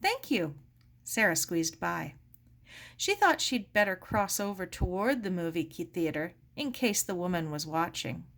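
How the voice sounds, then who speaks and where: A woman reading a children's story aloud as expressive scripted narration. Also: on the low side, normal speed. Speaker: storybook reader.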